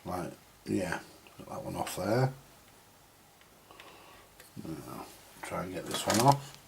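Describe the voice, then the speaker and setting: A man with a husky voice, giving informal, unscripted hands-on commentary as he mutters through a repair, now and then mildly sweary. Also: low voice, big pitch swings, slow pace, slight background noise. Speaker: host of a vintage radio repair video.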